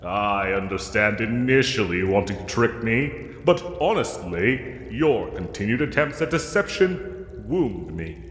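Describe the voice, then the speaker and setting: In a long, echoing space, a pompous knight acted by a man holds forth in a fantasy roleplay. His formal, old-fashioned speech is slow and swoops theatrically, condescending but with a soft heart beneath the gallantry. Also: fairly low pitch, lively intonation. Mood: stern, condescending. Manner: formal, archaic, grandly theatrical diction. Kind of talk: scripted fantasy audio roleplay. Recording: echoey cave-like reverb, music underneath.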